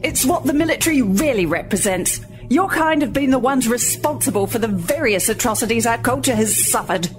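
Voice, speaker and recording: A woman's voice: a little high, animated, a little fast, over music, close to an everyday voice. An actress playing a prickly, sardonic corporate woman on a space station in a sci-fi audio drama. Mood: indignant. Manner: sardonic, cutting.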